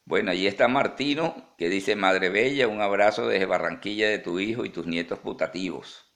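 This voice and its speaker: Very low male voice, Venezuelan accent, interview host, informal, chatty, halting delivery. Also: fairly bright tone. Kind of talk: live conversational interview.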